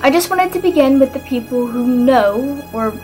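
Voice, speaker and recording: A woman's voice: high-pitched, expressive, normal speed, dark tone. A woman playing a businesslike, inquisitive detective in a radio play, with formal, firm phrasing. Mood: probing, measured. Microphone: video call. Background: faint music.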